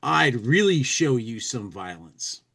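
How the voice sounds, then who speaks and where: A man's voice: medium pitch, sing-song, slow, creaky, fairly bright tone, breathy edge, full character voice. Man acting out a brash, taunting captive hero. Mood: taunting, vindictive. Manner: taunting, declamatory. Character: brash, sarcastic, vindictive captive movie hero.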